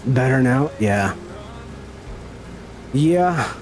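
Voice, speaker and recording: Slow-talking folksy man streaming a game. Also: mid-range voice, animated, a little mellow, soft background music. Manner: folksy, teasing, chatty with viewers. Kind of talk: casual live-stream chat while gaming.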